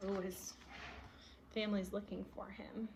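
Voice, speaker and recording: A woman's voice: mid-range voice, little pitch movement, measured pace, background noise. A woman reading a picture book aloud and adding her own asides, as a storyteller does.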